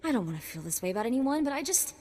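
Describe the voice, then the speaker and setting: Earnest, vengeful sidekick, reverberant. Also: female voice, high-pitched, big pitch swings, rapid-fire, darkish tone. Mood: anguished. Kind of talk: scripted game character acting. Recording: long hall reverb.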